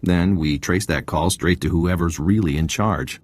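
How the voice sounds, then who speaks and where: Very deep-voiced, wry intelligence agent. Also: male voice, a little slow. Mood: businesslike. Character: confident male intelligence field agent, wry and businesslike. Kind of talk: video game dialogue.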